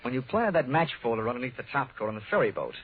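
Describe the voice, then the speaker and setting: An actor playing a wisecracking, tough American insurance investigator in a 1940s radio detective drama, speaking brisk and wry. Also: male voice, medium pitch, big pitch swings, lightly acted. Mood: tough, sardonic. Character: wisecracking hard-boiled insurance investigator man. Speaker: lead actor and narrator. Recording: tinny 1940s radio recording, music.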